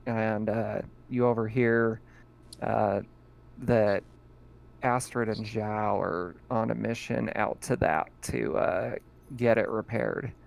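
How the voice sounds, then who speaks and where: Brief: gravelly male game master, halting narration. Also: medium pitch, a little mellow, dull audio. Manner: halting, many fillers.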